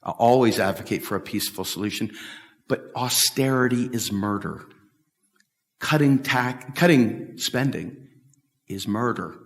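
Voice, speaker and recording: A middle-aged man giving an animated, emphatic talk at a policy event, repeating his points and gesturing as he answers questions. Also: medium pitch, a little slow. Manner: emphatic, repetitive, talks with big hand gestures. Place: large bright hall with windows, standing before a stage table. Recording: echoey hall from far off.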